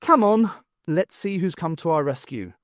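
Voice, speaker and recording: A man's voice: on the high side, animated, moderate pace, a bit bright, dry recording. A man voicing a wry, plucky adventurous heroine in an audiobook, with a dry manner.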